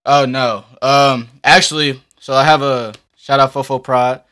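A young man speaks at an average male pitch as a musician being interviewed. His talk is casual and slangy, loosely strung together with halting turns. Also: fairly even intonation, sharp tone. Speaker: musician guest. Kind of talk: casual chat.

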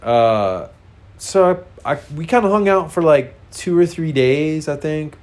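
A man's voice: a little high, animated, measured pace. A man with a congested, nasal voice, casually telling a frank, rambling personal story full of fillers.

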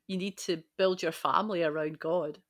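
A woman's voice: fairly low pitch, fairly even intonation, moderate pace, fairly bright tone. A woman on a discussion panel, speaking conversationally in an earnest, reflective way. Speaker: podcast panellist.

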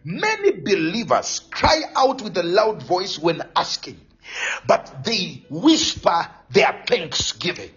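A man delivers a charismatic sermon in a high, swooping voice. He is declamatory and exhorting, repeating phrases and drawing them out slowly. The recording is somewhat dull, with faint music underneath. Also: big pitch swings, fairly bright tone. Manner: declamatory, repetitive, exhorting, stretches key phrases. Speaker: preacher. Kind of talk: charismatic Pentecostal sermon with bursts of tongues.

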